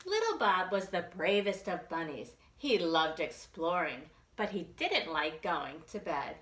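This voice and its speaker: A woman's voice: on the low side, big pitch swings, measured pace, fairly bright tone. A woman librarian leading a children's storytime, reading and reciting rhymes expressively in simple phrases for small children. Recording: echoey room.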